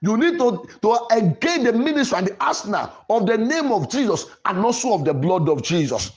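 A male preacher with a notably high voice that swings widely in pitch. He leads deliverance prayer with insistent, declamatory phrasing, hammering home short repeated lines. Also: very animated, a little fast. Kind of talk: fervent deliverance sermon and prayer.

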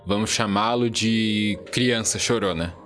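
A man's voice: fairly low pitch, a little slow, a bit bright, soft background music. A man reading scripted narration as the storyteller of an audio drama.

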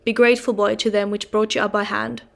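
A woman voicing a pompous, loud middle-aged uncle who blusters with self-importance. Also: medium pitch, little pitch movement, quick pace, creaky, lightly acted.

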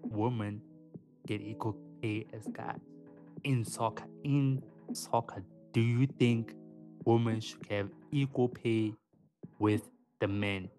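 A man, co-hosting a podcast in casual, slangy, animated chat. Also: lowish voice, takes it slowly. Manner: animated, slangy, often says "man" and "dog". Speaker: podcast co-host. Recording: music playing underneath.

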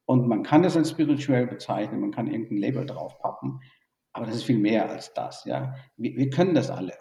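Thoughtful gravelly man from Burma, roomy. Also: fairly low pitch, very animated, fairly quick, dark tone, recorded from a distance. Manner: thoughtful, hesitant with many fillers. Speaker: interview guest, engineer. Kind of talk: reflective conversational interview. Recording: echoey room, off-mic.

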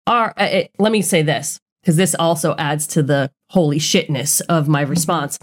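A woman co-hosting a casual podcast, speaking with earnest emphasis and blunt conviction. Her voice sits a little low, and she drives her points home plainly. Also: normal speed. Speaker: podcast co-host. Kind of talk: casual conversational podcast chat.

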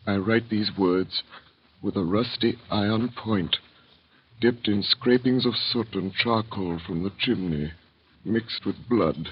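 An actor playing a despairing imprisoned physician in a 1930s radio drama, speaking in a grave, measured, melancholy way. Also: male voice, lowish voice, breathy edge. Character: despairing imprisoned French physician narrating his grim past. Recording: dull lo-fi vintage radio recording.

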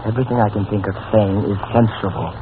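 An actor playing an earnest, flustered young army officer in an old radio comedy, deferential and pleading. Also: male voice, on the low side, steady tone, fast talker, natural voice. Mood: exasperated, urgent. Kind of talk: 1940s radio comedy acting. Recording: noisy, tinny old-time radio recording.

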